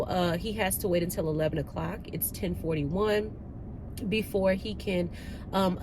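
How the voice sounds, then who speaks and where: Nasally congested woman chatting casually. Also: on the low side. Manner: chatty, informal and slangy. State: stuffed up with a cold. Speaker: vlog host. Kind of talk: casual chat to viewers. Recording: noisy car ambience.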